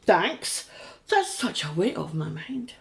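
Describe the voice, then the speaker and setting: An actress playing a sarcastic, exasperated middle-aged British wife, practical and sceptical, in a radio play. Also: female voice, mid-range voice, big pitch swings, slow pace, creaky, full character voice.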